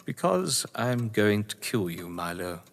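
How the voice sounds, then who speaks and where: An actor playing a cold, gloating crime novelist and betrayed husband in an audio drama, speaking with eloquent, taunting menace. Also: male voice, on the low side, very animated, a little slow, gravelly, heavily acted. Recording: reverberant hall-like room.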